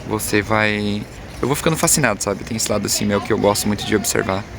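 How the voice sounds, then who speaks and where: A man's voice: fairly low pitch, quick pace, gravelly. A man with a Brazilian accent chatting casually to viewers as a travel vlogger, in a hesitant, rambling way. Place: outdoors on a city street. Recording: loud traffic and street noise.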